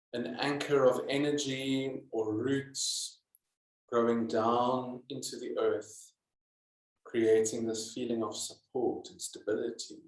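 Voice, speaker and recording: Slow-speaking man guiding yoga, echoey room. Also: mid-range voice. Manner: calm, deliberate, with many pauses. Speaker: yoga teacher. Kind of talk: guided yoga and meditation instruction. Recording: echoey, roomy sound.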